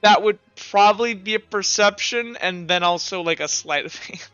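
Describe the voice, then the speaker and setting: A man's voice: high-pitched, normal speed, sharp tone, clean tone, dry recording, dull audio, faint music behind. A man improvising narration as game master of a tabletop game.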